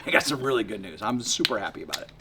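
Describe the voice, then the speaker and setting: A man in his fifties rambling excitedly to camera outdoors by his work shed, full of fillers and restarts. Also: on the low side, rapid-fire, noisy background. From West Tennessee. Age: 50s. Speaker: homesteading vlogger. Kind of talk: rambling casual vlog to camera.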